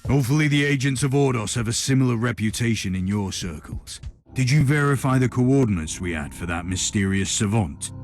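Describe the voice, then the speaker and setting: A man's voice: mid-range voice, animated, faint music behind, lightly acted. Man playing a stern, commanding inquisitor. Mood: dry, dismissive. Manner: authoritative, dry, deliberate. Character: commanding male Inquisitor, stern, dryly sardonic. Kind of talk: video game cutscene acting.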